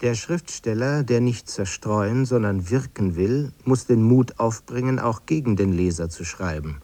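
A man reciting literary prose for a radio feature in a deliberate, declamatory manner. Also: on the low side, dark tone, dry recording. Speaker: reader of quoted texts. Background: music.

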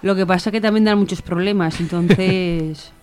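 A woman's voice: fairly low pitch, fairly even intonation, normal speed. A woman with an accent from Spain chatting casually and bluntly in a colloquial way during a group discussion. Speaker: discussion participant. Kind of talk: casual chat. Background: faint music.

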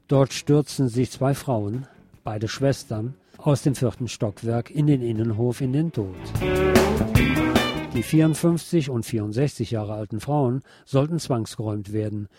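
A man presenting radio news as scripted commentary, speaking deliberately with a wry edge. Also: mid-range voice, loud music behind the voice.

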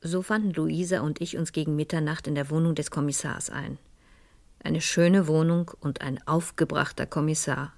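An actress playing a composed, persuasive French madame who speaks and narrates in a measured way in a radio crime drama. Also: female voice, low-pitched, fairly even intonation, vocal fry, darkish tone. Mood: reflective narrating. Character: composed, persuasive French madame recounting her own mystery.

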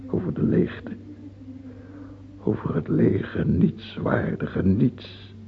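An actor playing a dying king in a radio drama, anguished and terrified, in a gravelly voice with a halting, broken delivery. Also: medium pitch, big pitch swings, a little hiss or hum, full character voice. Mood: bleak, brooding. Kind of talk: theatrical radio drama monologue. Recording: tinny band-limited old radio play recording.

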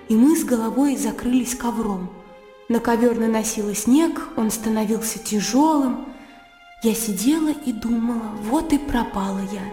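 High-voiced spirited young gentlewoman, played by an actress. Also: close to an everyday voice. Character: spirited young country gentlewoman, candid and lively. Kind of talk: radio play acting, animated storytelling. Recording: echoey reverberant studio, music behind. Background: music.

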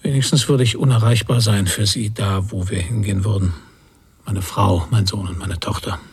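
A man's voice: lowish voice, fairly even intonation, moderate pace, husky. A man playing a bitter, guilt-ridden middle-aged father in a radio drama, thinking aloud in terse fragments. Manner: terse, fragmented inner monologue. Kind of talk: German radio drama interior narration.

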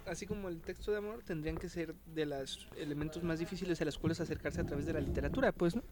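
A man's voice: a little high, fast talker, crowd noise. A man in casual literary discussion, thinking aloud and ending nearly every phrase with a tag question. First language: Spanish. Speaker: book club podcast co-host.

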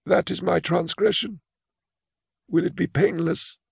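A gravelly, fearful old clerk. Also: male voice, high voice, flat delivery, a little slow, darkish tone, dry recording, studio quality, exaggerated voice. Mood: anxious. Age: older. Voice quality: gravelly, husky. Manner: anxious, quavering, pleading. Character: frail elderly male clerk, nervous and fearful. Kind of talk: audio drama acting.

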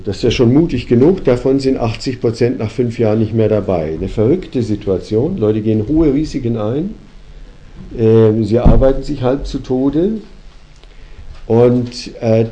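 A man hosting a conversation, a company founder who lectures reflectively and argues his points with many pauses and fillers. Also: lowish voice, dark tone. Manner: reflective, argumentative, hesitant with fillers.

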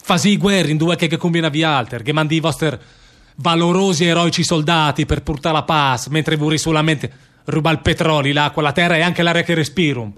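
An actor playing a bitter, weary condemned anarchist emigrant worker with a northern Italian Lombard accent, declaiming in dialect in a radio drama. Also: male voice, on the high side, brisk, a bit bright, lightly acted. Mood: bitter, accusing. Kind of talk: stage-style radio drama monologue in dialect.

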